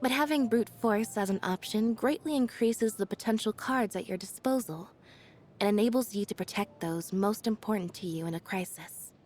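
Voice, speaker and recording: A woman voicing an aloof, quiet young female magician's assistant in a video game, deadpan, reserved and understated. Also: mid-range voice, moderate pace, natural voice. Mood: thoughtful. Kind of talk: video game character voice lines.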